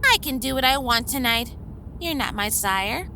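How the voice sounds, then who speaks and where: An actress playing a reckless, foul-mouthed young vampire out to party in an audio drama, excitable, cheeky and defiant. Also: female voice, high-pitched, moderate pace, slight background noise, exaggerated voice. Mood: cocky, defiant.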